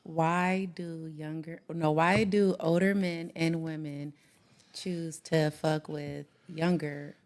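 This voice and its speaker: Woman, casual chat guest, deep voice, gravelly, blunt, laid-back. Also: steady tone, slow pace. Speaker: guest co-host.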